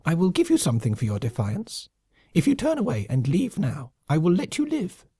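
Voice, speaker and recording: A man's voice: huge pitch swings, mellow, breathy edge, dry recording, clean studio sound, exaggerated voice. A man voicing a furious, vicious male lemming colonel who shrieks and hisses his threats. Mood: scheming. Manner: shrill, hissing, drawn-out threats.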